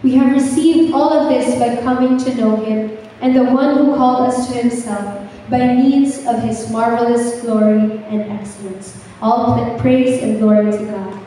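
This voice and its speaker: A woman, a camp counselor giving an earnest, measured testimony that encourages the church. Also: a little high, steady tone, mellow, slight background noise. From Philippines. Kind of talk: prepared church testimony with exhortation. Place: large church hall. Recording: echoey hall, mic from far off.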